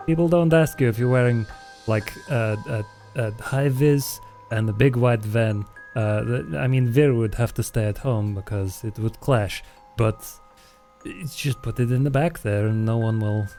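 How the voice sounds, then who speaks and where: A scrappy, nervy vampire, played by a man in a tabletop role-play podcast. The voice is mellow and mid-pitched, the talk colloquial and halting with a wry edge. Faint music sits behind it. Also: natural voice. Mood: wry, uneasy.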